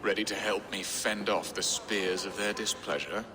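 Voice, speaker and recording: A man's voice: lowish voice, fairly even intonation, moderate pace, loud music behind the voice, lightly acted. A man playing a theatrical, self-mocking Saxon lord in a game cutscene, with florid, wry speech. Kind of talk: dramatic game cutscene acting.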